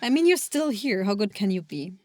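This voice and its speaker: A German woman with an accent, guest co-hosting an improv comedy podcast with dry, unhurried deadpan. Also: medium pitch. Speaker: guest co-host, voice actor. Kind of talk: improv scene and casual chat.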